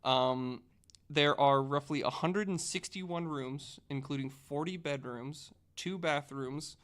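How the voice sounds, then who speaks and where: A man's voice: a little high, takes it slowly, a bit bright. A man on a casual podcast panel, presenting researched facts in a conversational way and partly reading from notes.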